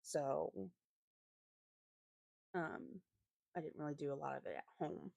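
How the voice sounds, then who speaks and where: A woman with a slightly raspy voice giving a casual craft voiceover, rambling hesitantly and often correcting herself as she goes. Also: low-pitched, fairly even intonation, takes it slowly, a little mellow, no room sound, muffled recording. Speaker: planner vlogger and host.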